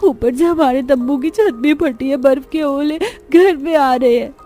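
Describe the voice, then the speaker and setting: A woman's voice: high voice, a little slow, mellow, voice pushed up. A woman voicing an anxious young daughter of a poor family in a cartoon, plaintive and childlike.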